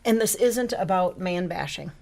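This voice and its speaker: Woman, 50s, co-host. Low-pitched, chatty, laughs easily, asks the questions. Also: lively intonation, moderate pace, gravelly, mellow. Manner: laughing, steering the talk with questions. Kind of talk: casual chat.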